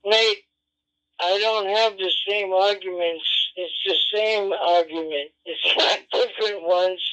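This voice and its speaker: A man's voice: high-pitched, steady tone, slow, bright, forceful tone. A man arguing as an amateur radio operator, insistent and combative, repeating himself and swearing over a lo-fi digital radio link. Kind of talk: radio argument. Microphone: radio transceiver microphone. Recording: dull lo-fi digital ham radio transmission.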